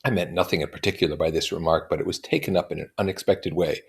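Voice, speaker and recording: Deep, husky man reading aloud. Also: fast. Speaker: reader and commentator. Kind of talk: reading a novel aloud with informal comments.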